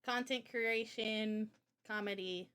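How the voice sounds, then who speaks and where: A woman's voice: mid-range voice, steady tone, slow, fairly bright tone, clean tone. A woman streamer and game developer chatting casually with her audience in an informal, slangy way. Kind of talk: casual livestream chat.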